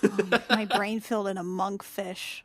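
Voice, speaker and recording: A woman's voice: medium pitch, fairly even intonation, unhurried. A woman chatting casually during a tabletop roleplaying game, adding wry, joking asides. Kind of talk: casual chat.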